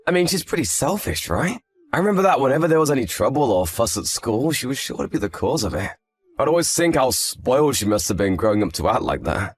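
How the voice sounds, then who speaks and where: A man's voice: mid-range voice, very animated, fast. A man playing an earnest, frank young husband in scripted story dialogue, speaking expressively. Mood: chatty, frank.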